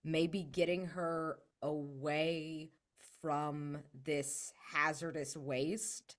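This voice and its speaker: A woman's voice: deep voice, level tone, extremely slow. A woman brainstorming casually as a player in a tabletop roleplaying game, informal and enthusiastic.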